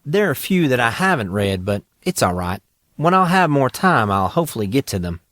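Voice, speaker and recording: A man voicing a calm, eccentric teenage boy genius in an audiobook, cheerful and cryptic in an assured way. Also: medium pitch, fairly quick, no echo, clean studio sound. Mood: breezy. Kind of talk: audiobook character dialogue.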